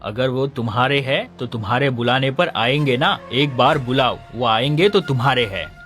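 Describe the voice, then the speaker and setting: A man playing a stern, questioning village headman who judges disputes in an animated folk story. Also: medium pitch, normal speed, close to an everyday voice. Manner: authoritative, questioning. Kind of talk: Hindi animated moral story dialogue. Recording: music under the voice.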